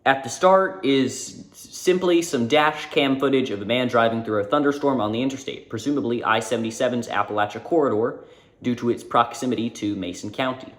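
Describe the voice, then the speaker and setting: A man's voice: mid-range voice, brisk, a bit bright. A man narrating a casual video essay, informal and correcting himself now and then. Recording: roomy echo.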